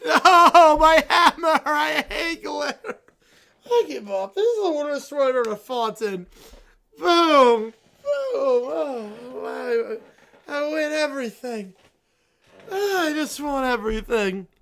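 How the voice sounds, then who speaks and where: A small, spoiled princess girl whining and stammering, as a woman puts her on. Her voice sits high, and she draws her words out slowly and pouts through them. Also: expressive, vocal fry, fairly bright tone, full character voice. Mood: whiny. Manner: pouty, whiny, stammering. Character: sulky, greedy little princess, young girl.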